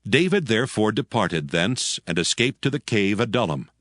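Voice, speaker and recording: A man narrating scripture as a scripted dramatized reading, in a measured, solemn manner. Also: fairly low pitch, big pitch swings, fairly bright tone, no echo, clean studio sound.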